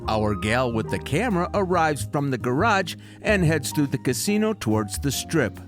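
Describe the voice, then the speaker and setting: A man's voice: fairly high pitch, very animated, moderate pace. A man giving scripted, polished voice-over narration in an upbeat manner. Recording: voice-over with guitar music behind.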